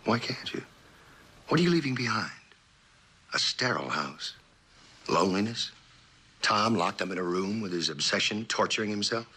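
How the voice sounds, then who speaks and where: An actor playing a confident, persuasive writer and lover in a mid-century suspense drama. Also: male voice, lowish voice, expressive, normal speed, long pauses, pushed and bright, breathy edge, exaggerated voice. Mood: insistent.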